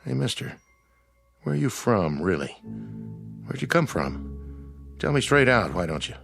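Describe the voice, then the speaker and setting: A man's voice: medium pitch, very animated, unhurried, gravelly. An actor playing a friendly, puzzled, plain-spoken man who runs a roadside diner, in a radio drama with music behind. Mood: puzzled, probing. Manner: folksy, easygoing, plain-spoken.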